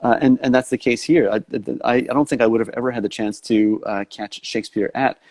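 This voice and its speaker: A man's voice: mid-range voice, fairly even intonation, a little fast, a little mellow, no room sound. A man with a New York accent hosting a live online interview over a video call, talking in long loops full of restarts. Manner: rambling with many restarts. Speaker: host.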